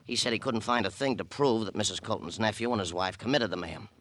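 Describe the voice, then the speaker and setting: Guy doing grumbly insurance boss on 1950s radio, lowish sharp voice, chatty, put-upon, wisecracking. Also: fast talker, natural voice. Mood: wry, knowing. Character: grumbling, wheedling, self-important insurance company boss. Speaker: actor in a radio crime drama. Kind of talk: 1950 radio character acting.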